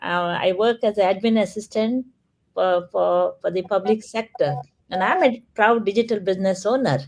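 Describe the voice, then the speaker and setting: A woman's voice: medium pitch, moderate pace, a little mellow. A woman in her sixties from Canada, introducing herself in a friendly, slightly hesitant way on a live panel. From Ontario, Canada. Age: 60s. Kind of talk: casual live-stream chat.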